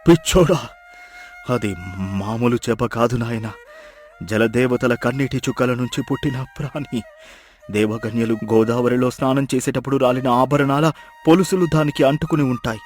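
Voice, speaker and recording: A man's voice: mid-range voice, normal speed, soft background music, lightly acted. A man playing an old, starving wandering mendicant, plaintive and mysteriously knowing, in acted moral story dialogue. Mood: warning. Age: older. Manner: pleading, plaintive, ominous.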